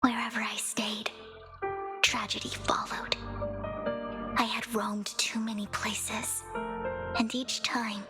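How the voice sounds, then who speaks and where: A woman voicing a soft-spoken, melancholy and devoted young woman in a video game, with a hushed, deliberate delivery. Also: on the high side, little pitch movement, loud music behind the voice. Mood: sorrowful, hushed.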